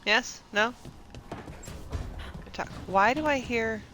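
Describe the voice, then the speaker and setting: A woman's voice: a little high, slow, sharp tone, a little hiss or hum. A woman doing casual live game commentary, chattily thinking aloud and reacting as she plays. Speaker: video game streamer.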